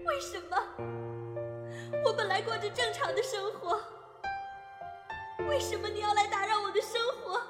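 A woman's voice: extremely high pitch, normal speed, pauses often. A woman voice actor playing a fragile, emotional young woman in a melodramatic audio drama. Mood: anguished, accusing. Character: very high-pitched, fragile, anguished young woman beloved. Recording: long echoing reverb, loud music.

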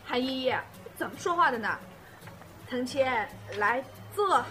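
A woman's voice: high-pitched, animated, a little slow, bright, forceful tone, soft background music, full character voice. A woman playing a carefree, mischievous teenage schoolgirl in a radio drama. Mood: playful.

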